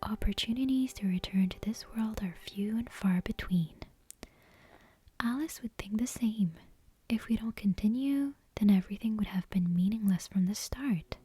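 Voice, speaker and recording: A woman whispering a fantasy bedtime story in a breathy, gentle, careful ASMR read-aloud style. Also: mid-range voice, normal speed, no room sound.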